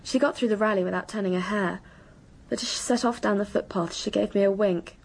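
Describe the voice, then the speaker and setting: A woman's voice: medium pitch, fairly even intonation, brisk, gravelly, slightly rough, poor audio. An actress playing an anxious, earnest English schoolgirl in a radio drama, confiding with an apologetic manner. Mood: regretful.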